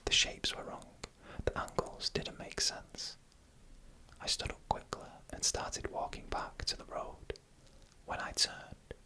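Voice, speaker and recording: A narrator reading a scary story in a soft, unvoiced ASMR whisper, slowly and deliberately in short phrases with pauses. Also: rough recording.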